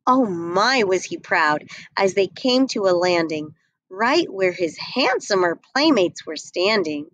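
A young adult woman reading a rhyming picture book aloud at a desk webcam, slow and expressive. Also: medium pitch, no room sound. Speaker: storybook reader. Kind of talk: read-aloud storytelling. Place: small indoor room.

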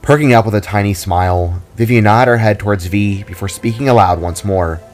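A man's voice: lowish voice, a little fast, a little mellow, faint music behind. A man reading scripted story narration as the narrator.

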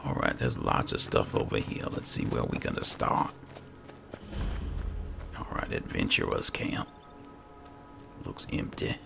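A man with a very deep, gravelly voice giving casual, unhurried roleplay commentary as he plays a video game. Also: wildly swooping pitch, music in the background. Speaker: gaming channel host, player.

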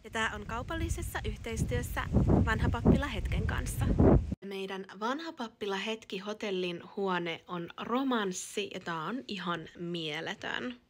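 A cheerful woman in her thirties from Finland talks into a phone held at arm's length, her voice enthusiastic and chatty. Her pitch and tone sit at an ordinary middle level. A steady hum of background noise runs under everything she says. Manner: bubbly, enthusiastic, talks to camera and her kids. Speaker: family vlogger. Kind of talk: casual travel vlog narration. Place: car, shops, hotel and outdoors.

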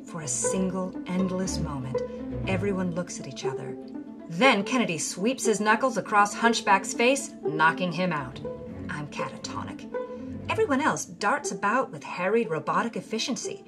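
A woman giving a theatrical, scripted narration of a memoir, dropping to a breathy hush in quiet moments. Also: mid-range voice, expressive, normal speed. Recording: music bed under the voice.

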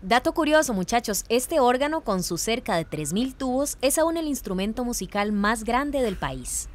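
A woman's voice: on the high side, expressive, normal speed, close to an everyday voice. A woman with a Costa Rican accent playing an encouraging, composed history professor in an educational radio play. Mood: informative.

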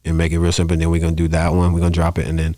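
A man's voice: sits low, monotone, racing, dark tone, dry recording. A man around his thirties, talking casually and rambling through stories on a close studio mic. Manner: rambling storytelling, punctuated with "you know what I'm saying". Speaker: podcast guest, rapper. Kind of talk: casual interview talk. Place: small podcast studio, indoors. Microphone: close studio microphone on arm, headphones.

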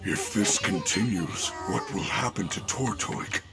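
A woman voicing a solemn ancient stone being in a video game, speaking gravely and portentously. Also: extremely low pitch, measured pace. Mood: grave, mournful. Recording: game music loud behind voice.

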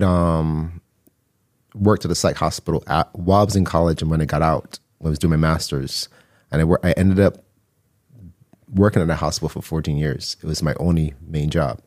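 A man's voice: a bass voice, a little mellow, dry recording. A middle-aged man from Barbados, a guest telling his story conversationally, close on a studio microphone. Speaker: guest, mental health counselor and artist. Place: small indoor podcast studio. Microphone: close studio microphone on boom arm.

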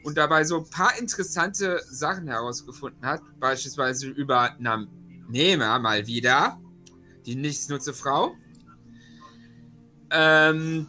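Bright-voiced, higher-pitched man recapping hesitantly. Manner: hesitant, rambling. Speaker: player in a tabletop role-playing game. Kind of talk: casual spoken session recap.

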